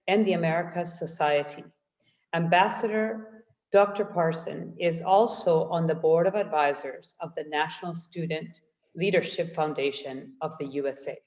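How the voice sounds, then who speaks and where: A woman's voice: fairly low pitch, a little mellow. A woman moderating a webinar, reading out a speaker's introduction with enthusiasm. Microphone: video call. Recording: dull lo-fi video call audio.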